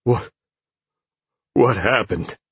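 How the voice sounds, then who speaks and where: A man voicing an incredulous, exclaiming male captive in a fantasy story, with a husky voice. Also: lowish voice, wildly swooping pitch, slow pace, fairly bright tone, pitched above the performer's own voice, heavily acted. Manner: exclaiming, disbelieving.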